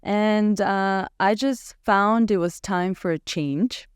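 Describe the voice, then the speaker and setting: A woman's voice: medium pitch, takes it slowly, smooth, no echo, clean studio sound. A woman in her twenties, raised in Canada after a childhood in Bulgaria, telling her story as a guest in a casual interview. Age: 20s. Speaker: guest, pageant winner.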